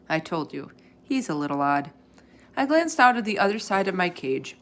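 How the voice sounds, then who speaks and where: A woman reading a children's book aloud as a storyteller, expressively and with animated emphasis. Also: lowish voice. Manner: animated emphasis, acts out character dialogue.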